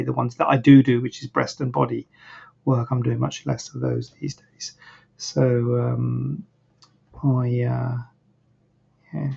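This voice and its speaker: A plastic surgeon hosting a live online Q&A, answering questions in a rambling, hesitant way, and a bit croaky. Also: male voice, medium pitch, a little mellow. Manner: rambling, hesitant, many fillers and restarts. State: a bit croaky, clearing throat. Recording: dull, lo-fi livestream audio.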